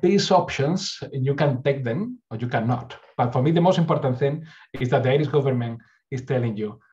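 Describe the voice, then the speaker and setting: A middle-aged man with a Spanish accent presents earnestly over a webcam call, often restarting and repeating words. His voice sits at an ordinary pitch. The audio is a little dull and lo-fi. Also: expressive, a little fast, a little mellow. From Spain. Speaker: university recruitment speaker. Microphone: webcam on a video call.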